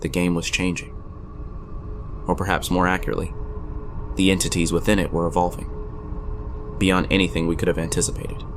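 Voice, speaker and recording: A man playing a wry gamer friend who narrates an eerie audio drama in a measured, suspenseful way. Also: low-pitched, flat delivery, over music, natural voice. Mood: ominous, measured. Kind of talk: dramatic first-person storytelling.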